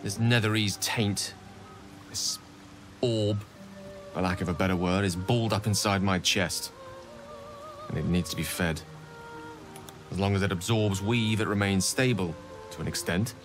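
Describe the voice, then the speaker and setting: An actor voicing an eloquent, theatrical human wizard in a video game, speaking in articulate, wordy, confessional dialogue. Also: male voice, deep voice, unhurried, pauses often, music in the background. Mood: grave confession.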